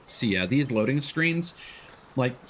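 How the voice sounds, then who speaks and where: A man's voice: medium pitch, lively intonation, a little slow, fairly bright tone, slight background noise. A man livestreaming casual gameplay commentary, thinking aloud in a playful way. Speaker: live streamer.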